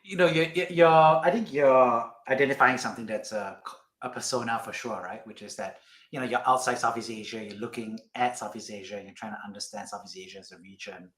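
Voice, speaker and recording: A male podcast host with a mid-pitched voice, recorded with a clearly roomy echo. He questions his guest in a conversational, probing way, often adding little check-in tags as he goes. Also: steady tone, brisk, fairly bright tone. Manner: probing, frequent "you know" and "right?" tags.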